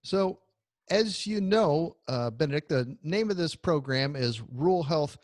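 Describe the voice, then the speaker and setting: A man's voice: on the high side, lively intonation, unhurried, a little mellow, dry recording, clean studio sound. A man hosting a radio interview in a friendly, upbeat way, asking his guest questions. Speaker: radio show host.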